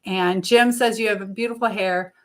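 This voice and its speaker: A woman's voice: medium pitch, fairly even intonation, moderate pace, a bit bright. A woman hosting a casual live chat, rambling as she reads out and answers viewer comments. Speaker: livestream host. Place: indoors at home, in an echoey room. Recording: echoey room.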